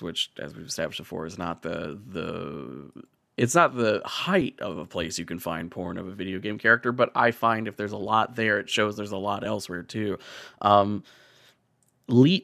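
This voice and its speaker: A man's voice: on the low side, gravelly, fairly bright tone, no echo, studio quality. A man hosting a podcast, talking casually and comedically with a wry, profane streak. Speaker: podcast host.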